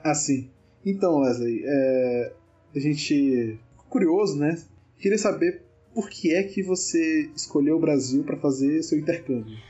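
A man from Brazil's Northeast hosting a casual podcast, joking and relaxed with many fillers. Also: a little high, a little slow, muffled recording, soft background music. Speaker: podcast host.

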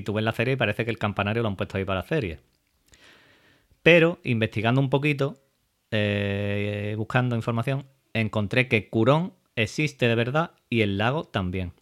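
A man hosting a podcast, talking in a casual, chatty way, with many fillers and restarts. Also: medium pitch. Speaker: podcast host.

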